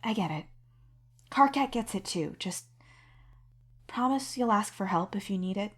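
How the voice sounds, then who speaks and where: A caring young woman voiced by a female reader in a narrated story. She is patient and concerned, speaking gently but pressing her point like a worried friend. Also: medium pitch, animated, a little slow, slightly rough, voice pushed up. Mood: gentle concern.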